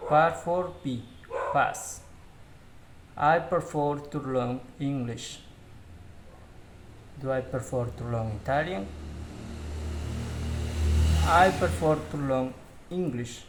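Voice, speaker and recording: Slow, careful man practicing English drills. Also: mid-range voice, background noise. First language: Spanish. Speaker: English learner. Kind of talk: reading English drill answers aloud.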